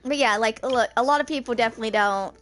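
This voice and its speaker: A woman's voice: on the high side, fast talker, a bit bright, soft background music. A woman in her twenties chatting casually to viewers as she streams a game, rambling and thinking aloud. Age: 20s. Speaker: streamer playing a video game.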